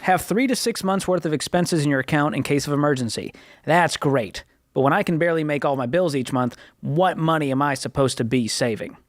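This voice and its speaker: Jokey man co-hosting, slightly high. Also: fairly quick, no echo. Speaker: co-host. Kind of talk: casual radio show chat, reading listener comments.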